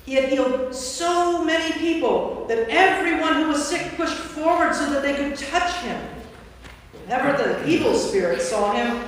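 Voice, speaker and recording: Female narrator, measured storytelling for a staged scripture reading. Very reverberant church, distant mic. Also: high voice, expressive, slight background noise. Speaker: narrator, Episcopal priest. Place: large church interior.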